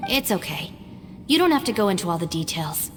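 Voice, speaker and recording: Clear music sits behind a slightly low female voice. a caring young game companion, concerned and wistful. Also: big pitch swings, brisk. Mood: sympathetic, wistful. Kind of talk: video game voice acting. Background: clear background music.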